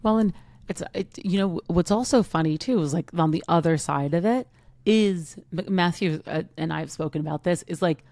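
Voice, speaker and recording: A woman in her thirties talks casually on a podcast with a low, gravelly voice. She rambles and leans heavily on "like", and the sound is dry and close. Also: normal speed, a little mellow, no room sound. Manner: rambling, heavy use of "like". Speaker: podcast co-host. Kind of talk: casual chat.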